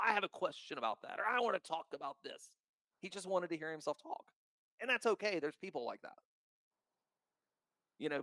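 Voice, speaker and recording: A man who founded a crypto token, talking in a casual podcast chat, outspoken and blunt with a loud voice and free swearing. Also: a little high, dry recording.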